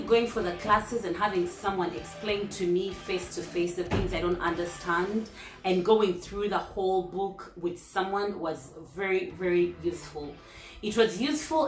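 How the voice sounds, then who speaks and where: A native English-speaking woman talks straight to her viewers in a chatty, easygoing way, counting off her tips one by one. Her voice sits at a middling pitch and carries a roomy echo, with faint music playing softly underneath. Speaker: vlogger hosting her own channel. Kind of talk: casual tips talk to viewers.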